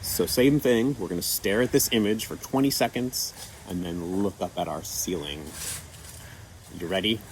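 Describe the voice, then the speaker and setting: A man gently walking children through an activity with patient, step-by-step instructions and a few fillers. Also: sits low, moderate pace, a little hiss or hum, rough recording. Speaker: children's storytime presenter. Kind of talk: guiding kids through an activity.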